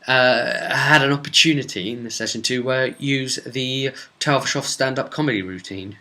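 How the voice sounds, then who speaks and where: A man's voice: mid-range voice, normal speed, pushed and bright. A man with a British accent hosting a casual, unscripted tabletop role-playing recap as game master, chatty and rambling. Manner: rambling, chatty, acts out bits of dialogue.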